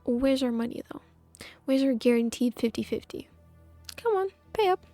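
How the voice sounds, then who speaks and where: A woman's voice: high-pitched, lively intonation, moderate pace, mellow, faint music behind. A woman streaming a game, reacting in casual, playful chat with exclamations and swearing.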